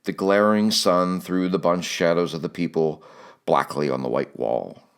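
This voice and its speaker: A man's voice: low-pitched, normal speed, creaky, clean tone. A man reading a literary audiobook as scripted narration.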